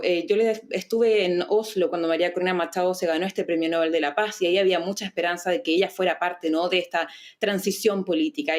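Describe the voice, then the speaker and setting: A woman from Chile, a guest analyst on a news panel, speaking fluently and emphatically. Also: fairly low pitch. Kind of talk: debate commentary.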